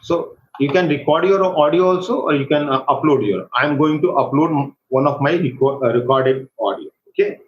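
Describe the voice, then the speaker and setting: A man with an Indian accent giving a live step-by-step software tutorial as an instructor, haltingly, often checking for agreement with short tag questions. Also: on the high side. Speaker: workshop instructor. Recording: echoey room, dull lo-fi sound, faint noise.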